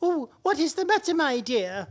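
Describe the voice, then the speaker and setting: A woman's voice: sits high, sing-song, moderate pace. A woman playing a fussy, devoted and oblivious husband in a comic radio play, soothing and fussing. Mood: fussily soothing.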